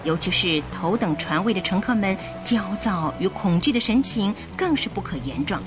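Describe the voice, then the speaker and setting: A woman delivers dramatic scripted story narration for a radio play. Her mid-range voice is theatrical and highly animated, with music clearly playing behind her. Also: normal speed.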